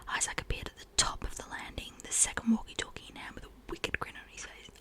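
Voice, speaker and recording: A woman reads fiction in a breathy whisper, ASMR style. She narrates a first-person teen story, keeping her voice hushed from phrase to phrase. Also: fast talker. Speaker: reader of a story.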